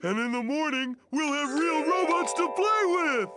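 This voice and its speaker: Dim cartoon starfish, goofy, childish, high-pitched, lurching intonation, loud music behind. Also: male voice, lively intonation, measured pace. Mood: earnest. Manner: goofy, simple, earnest. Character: dim-witted, good-natured, childish male starfish. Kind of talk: cartoon acting in a video game.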